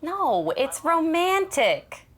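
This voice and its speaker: A woman's voice: very high-pitched, big pitch swings, very slow, slight background noise, exaggerated voice. An actress playing a doting, starstruck older mother in an audio drama, nagging and insistent with a dramatic streak. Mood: dreamy, defensive.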